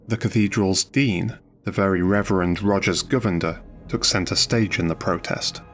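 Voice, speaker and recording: A man's voice: sits low, fairly bright tone. A man reading scripted documentary narration over music.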